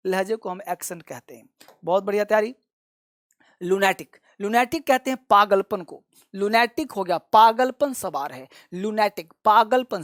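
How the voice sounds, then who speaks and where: A man's voice: high voice, no room sound, clean studio sound. A male English vocabulary teacher who gives a classroom-style lesson, repeats each word and has his students say it after him, and calls them his children. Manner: addresses viewers fondly as children.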